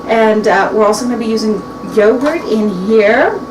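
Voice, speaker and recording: A woman of about forty-two talks casually and chattily, often circling back and restarting her sentences. She is recorded by a camera mic set some way off in a kitchen that sounds echoey. A steady household hum runs clearly underneath. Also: on the high side, normal speed. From Mexican heritage. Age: 40s. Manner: chatty, rambling with frequent restarts and fillers. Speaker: beauty vlogger. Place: home kitchen, indoors. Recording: echoey room, distant mic, clear background noise.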